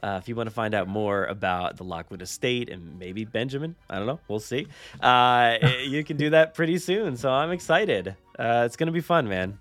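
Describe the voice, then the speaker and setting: A man's voice: mid-range voice, expressive, moderate pace, fairly bright tone, faint music behind. A man hosting a podcast in an informal, enthusiastic, conversational way. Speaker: podcast host. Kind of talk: casual podcast chat.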